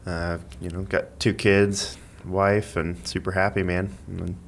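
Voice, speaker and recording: A man chatting casually as a podcast guest, in a halting, rambling way as he thinks aloud. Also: low voice, little pitch movement, vocal fry. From Missouri, United States. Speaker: podcast guest, amateur athlete and school IT worker. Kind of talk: casual interview chat.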